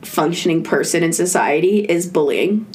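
A woman's voice: fairly low pitch, moderate pace, darkish tone, a little hiss or hum. A woman in her early twenties hosting a podcast, chatting informally and peppering her talk with "like". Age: early 20s. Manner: chatty, informal, heavy use of "like". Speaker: podcast host. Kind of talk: casual chat.

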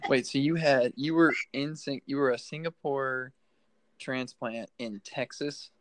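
Brief: male podcast host, mid-pitched, relaxed and rambling, dry close recording. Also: unhurried, no room sound. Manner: relaxed, rambling questions, goes with the flow. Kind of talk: casual interview.

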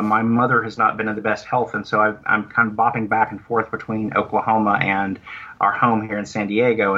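Man, bright sharp voice, halting rambling delivery, casual interview guest, dull lo-fi sound. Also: fairly low pitch. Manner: halting, rambling, repeats words. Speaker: guest, audio drama producer.

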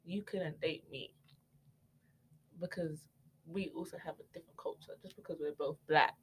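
A woman's voice: low voice, fairly even intonation, slight background noise. A young woman from the UK, a guest in a podcast discussion, who pushes back with pointed questions. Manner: challenging, quick questions. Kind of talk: casual group discussion.

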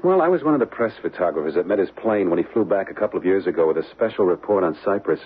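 An easygoing young American husband in a radio play, fairly low and very animated. He reassures casually over a thin, faintly hissy old broadcast. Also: rapid-fire, husky, highs cut off. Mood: reassuring, teasing. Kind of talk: radio drama acting.

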